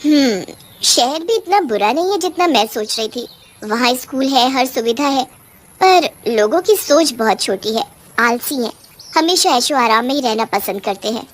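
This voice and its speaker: A woman's voice: high voice, animated, normal speed, slight background noise. A woman voicing a fussy, indignant female witch ghost in a cartoon story, with a scolding, complaining manner. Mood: reflective.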